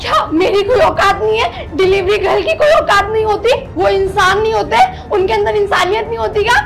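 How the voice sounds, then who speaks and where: Actress as very high-voiced wronged delivery woman. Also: a little fast, gravelly, over loud music. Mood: hurt, earnest. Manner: pleading, indignant, earnest. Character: wronged, proud pregnant young delivery woman. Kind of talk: melodramatic scripted Hindi skit acting.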